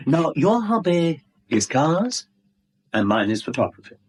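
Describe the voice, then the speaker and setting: An actor playing a smug middle-aged blackmailer in a 1970s radio drama, suave, wordy and mockingly courteous. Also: male voice, a little high, very animated, unhurried, breathy edge, full character voice. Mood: smug, leisurely. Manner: suave, wordy, mock-polite, teasing.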